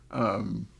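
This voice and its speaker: A man's voice: fairly low pitch, creaky, slightly rough, lo-fi sound. A man in a casual, opinionated podcast chat, sweary and jokingly exasperated, often laughing. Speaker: podcast host.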